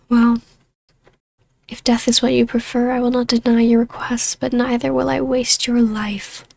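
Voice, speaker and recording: A woman voicing a taunting, imperious vampire baroness in a scripted ASMR roleplay, speaking in a measured, hushed voice that slips into whispers. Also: on the high side, flat delivery. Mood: stern, merciful. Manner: slow, hushed, breathy delivery slipping into whispers. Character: vampire baroness, ancient huntress turned ruler, taunting, imperious, regal.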